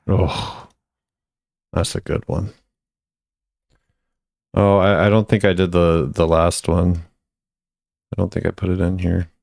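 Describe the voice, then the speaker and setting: A man's voice: deep voice, flat delivery, takes it slowly, creaky, long pauses, a little mellow, no room sound, clean studio sound. A man hosting a video, giving casual commentary as he ranks game voice lines.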